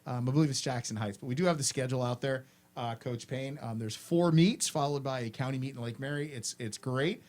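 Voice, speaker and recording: A man's voice: mid-range voice, a little fast, a little mellow. A man hosting a school's afternoon announcements, friendly and instructive, repeating his points to students.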